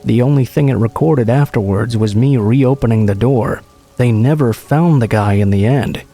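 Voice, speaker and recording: Guy reading scary stories, calm steady voice, kinda dark tone, faint music behind. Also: medium pitch, normal speed. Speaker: horror story narrator. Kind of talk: scripted narration.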